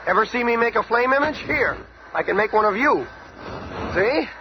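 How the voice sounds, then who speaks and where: An actor playing a brash, cocky young superhero in a 1970s radio drama, with flippant, boastful wisecracks. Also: male voice, very high-pitched, animated, moderate pace. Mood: cocky, teasing. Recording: noisy vintage radio broadcast recording.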